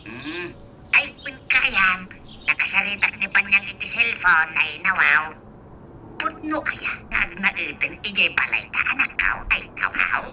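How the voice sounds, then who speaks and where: A woman acting an excitable, doting old father in a radio drama, exclaiming and drawling with chuckles. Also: mid-range voice, a little slow, slight background noise, exaggerated voice, poor audio. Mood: teasing, affectionate. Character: excitable, chuckling old father who dotes on his child.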